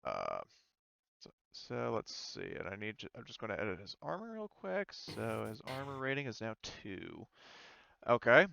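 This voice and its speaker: A man's voice: on the low side, wildly swooping pitch, normal speed, vocal fry, bright, forceful tone, no room sound. A man acting as game master in a casual tabletop roleplaying session, hesitant and thinking aloud as he checks rules.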